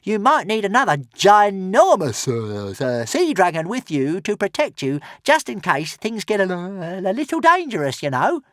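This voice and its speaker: A man voicing a small, boastful, stammering male dragon with a very high, indignant voice. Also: wildly swooping pitch, measured pace, hardly any pauses, no echo, studio quality, heavily acted.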